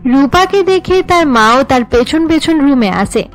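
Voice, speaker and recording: Female storyteller for cartoon, high register, scripted narration, music underneath. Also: lively intonation, unhurried.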